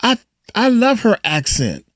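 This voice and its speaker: A woman's voice: a little high, wildly swooping pitch, measured pace, dry recording, clean studio sound. A woman giving playful, sassy, emphatic commentary. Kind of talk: casual commentary.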